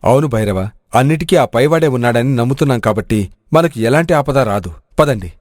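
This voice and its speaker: A man's voice: medium pitch, expressive, brisk, a little mellow, dry recording, studio quality, close to an everyday voice. A man playing a hearty, food-loving village strongman in an audio storybook, with emphatic delivery. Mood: trusting, wary. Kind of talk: dramatic audio storybook acting.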